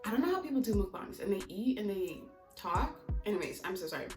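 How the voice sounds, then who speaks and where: A woman's voice: medium pitch, very animated, fast talker. A young American woman giving a casual, candid vlog talk to her viewers, chatty and rambling as she goes. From United States (Maryland), teens in Mozambique. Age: young adult. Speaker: vlogger. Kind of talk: casual chat to camera. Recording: echoey room, distant mic, music behind.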